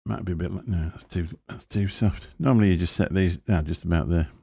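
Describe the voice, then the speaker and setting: Deep gravelly man, chatty. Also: a little fast, dark tone. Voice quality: deep booming voice. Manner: rambling, chatty, chuckling to himself. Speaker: live stream host. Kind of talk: casual live stream chat. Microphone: desk microphone through a mixer.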